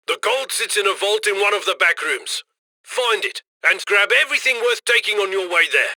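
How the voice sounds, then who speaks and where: Cocky heist boss for a video game, higher voice, super bright n punchy, slick chatty mission orders. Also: male voice, dry recording, heavily acted. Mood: firm, instructing. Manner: slick, conversational, egging the crew on. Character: cocky, upbeat male criminal heist handler. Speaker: heist handler giving mission directions.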